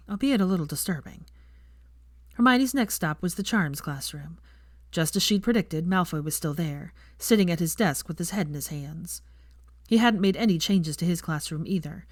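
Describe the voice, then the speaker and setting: A woman's voice: lowish voice, normal speed, dry recording, clean studio sound. A woman reading fiction aloud as a narrator, in a scripted audiobook style.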